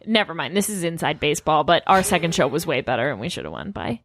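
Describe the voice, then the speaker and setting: Woman, comedy podcast co-host, casual chat, animated intonation, self-deprecating, playful, dry studio sound. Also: low voice, fast talker, dry recording.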